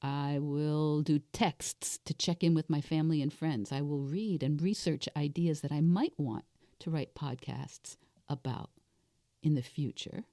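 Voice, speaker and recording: A woman in her sixties speaks in a low, soft-edged, raspy voice that sounds a bit under the weather. She thinks aloud like a life coach, deliberately posing questions to herself and answering them. The recording is close and dry. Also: no room sound. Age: 60s. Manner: deliberate, reflective, asks and answers own questions. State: unwell with a cold-like illness. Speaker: life coach hosting a solo podcast.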